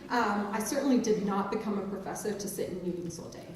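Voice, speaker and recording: A woman's voice: mid-range voice, a little mellow, slight background noise. A woman in her forties with a mixed English and New Jersey accent and a touch of Maryland Eastern Shore. She is a professor giving a conversational, self-deprecating keynote speech. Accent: mixed English and New Jersey, with some Maryland Eastern Shore. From England, raised in New Jersey. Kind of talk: speech to an audience. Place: large auditorium stage. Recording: very echoey hall, distant mic.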